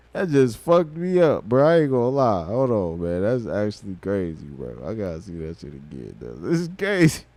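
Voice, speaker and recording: A man in his thirties livestreaming, a rapper talking casually and swearing freely, mixing thoughtful musing with loud excitement. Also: fairly low pitch, moderate pace, no echo. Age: 30s. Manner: reflective, then loud and excited with laughter and swearing.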